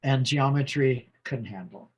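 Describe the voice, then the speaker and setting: A man's voice: mid-range voice, measured pace, breathy edge, poor audio. A man in his sixties, a podcast guest from the United States, telling long rambling stories about his schooling in a casual chat. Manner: rambling, warm storytelling with laughs and restarts. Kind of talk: casual interview conversation.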